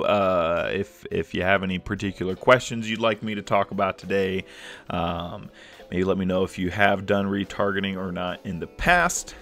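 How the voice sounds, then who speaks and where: A man's voice: fairly low pitch, a bit bright. A man hosting a livestream, talking casually with many fillers and restarts, a little sniffly from a cold. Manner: rambling, filler-heavy, restarts often. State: slightly congested from a cold. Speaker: livestream host.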